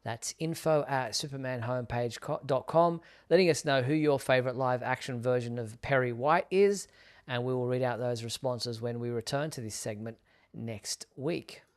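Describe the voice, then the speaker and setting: A man's voice: medium pitch, moderate pace. A man casually hosting a live show, presenting and reading out viewer messages.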